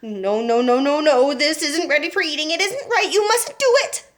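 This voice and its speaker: A woman's voice: high-pitched, animated, moderate pace, voice pushed up. A woman voicing an eccentric male candy maker who sighs and frets. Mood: fretful. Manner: fretful, sighing, apologetic.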